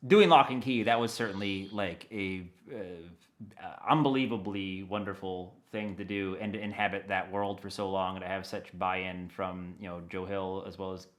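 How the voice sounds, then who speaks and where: An American man giving a casual interview, rambling in an animated way as he thinks aloud. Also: low-pitched, moderate pace. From United States. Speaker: interview guest, audio dramatist.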